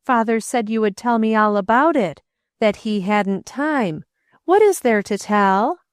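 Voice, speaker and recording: An earnest young boy from a storybook, read by a woman, his voice lifted high and urgent. He pleads slowly and with feeling. The sound is close and dry, with no room around it. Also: lively intonation, smooth, no room sound. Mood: puzzled. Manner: eager, pleading, rising to outbursts. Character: earnest boy, grieving and indignant.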